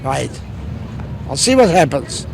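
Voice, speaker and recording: A man's voice: mid-range voice, sing-song, slow, creaky. A middle-aged man, a shopkeeper, answering a street news interview in short, repetitive, non-native-sounding phrases. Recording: 1970s newsreel, faint street noise.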